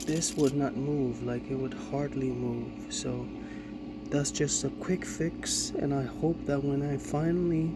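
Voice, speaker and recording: A man's voice: mid-range voice, very noisy. A man casually narrating a hands-on demonstration and repair of vintage gear, thinking aloud as he explains. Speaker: vintage tech presenter.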